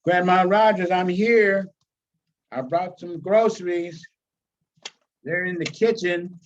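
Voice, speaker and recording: A man's voice: sits high, takes it slowly, recorded from a distance, dull audio. A man voicing an eager woman visitor in a storybook reading. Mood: cheerful surprise.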